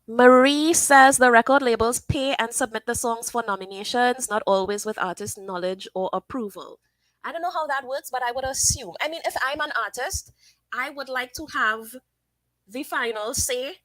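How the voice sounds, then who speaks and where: Woman streamer, bright and fairly high, close dry mic, opinionated live chat. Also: normal speed, dry recording, rough recording. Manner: chatty, opinionated, thinks aloud with fillers. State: sniffly from sinus trouble. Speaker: livestream host. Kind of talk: casual live commentary reading chat.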